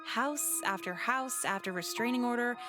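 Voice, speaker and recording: A woman voicing a cynical, sociopathic teenage high school girl in a visual novel, blunt, sweary and sardonic as she narrates. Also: moderate pace, over music, close to an everyday voice. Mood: wry, detached.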